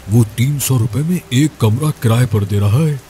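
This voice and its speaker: A man's voice: medium pitch, moderate pace, warm tone, a little hiss or hum, natural voice. A man playing a nosy, suspicious villager in a scripted cartoon moral story. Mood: gossiping, suspicious.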